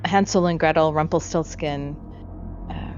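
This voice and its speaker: A woman's voice: low-pitched, measured pace, a little mellow. A woman co-hosting a casual discussion, thinking aloud in loose, trailing lists. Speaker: podcast host. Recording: dull lo-fi audio, music behind.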